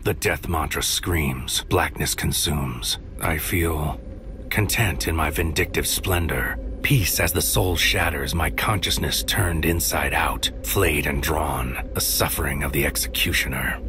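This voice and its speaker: An actor playing a brooding, tormented man in a dark poetic audio drama, speaking with grave, portentous delivery. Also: rumbling low, measured pace, over music. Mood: bitter, dark. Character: brooding tormented man Maru, weighing judgment of mankind.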